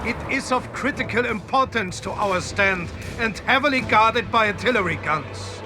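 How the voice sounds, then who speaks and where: A determined officer in a strategy game. His voice sits high for a man, with a stern, commanding delivery. Dramatic music runs behind his orders. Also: lively intonation, moderate pace. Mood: determined, defiant. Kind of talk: military mission briefing in a strategy game.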